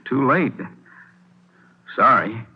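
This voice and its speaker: A man's voice: medium pitch, lively intonation, very slow, heavy background noise. A man acting a garrulous, rueful used-car dealer with an American voice in 1940s radio drama. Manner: chatty, rueful. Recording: dull old radio recording.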